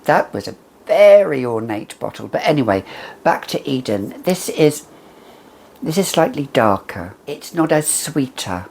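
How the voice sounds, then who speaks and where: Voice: medium pitch, sing-song, a little slow, a little mellow, a little hiss or hum. A perfume reviewer chatting casually and emphatically to camera, with a whimsical, conversational manner.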